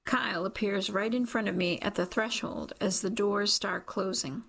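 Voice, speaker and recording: A woman reads first-person fiction as a scripted narrator. Her voice is mid-pitched, with a strong creaky fry running through it. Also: steady tone, moderate pace, a bit bright. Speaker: audiobook reader.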